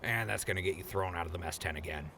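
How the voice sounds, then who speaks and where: A man's voice: low voice, quick pace, creaky, background noise. A man playing a wry, needling but sensible ghoul in a post-apocalyptic audio drama, casual and sardonic. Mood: exasperated, coaxing.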